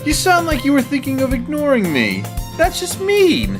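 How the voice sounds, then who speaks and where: A woman voicing a carefree, caring teenage schoolboy in an anime-style audio drama, earnest, teasing and expressive. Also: lowish voice, moderate pace, music in the background. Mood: playfully hurt.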